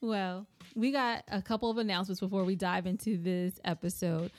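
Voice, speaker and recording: A woman co-hosting a podcast in casual banter, playful, teasing and excitable. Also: mid-range voice, a little fast. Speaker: podcast co-host. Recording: music under the voice.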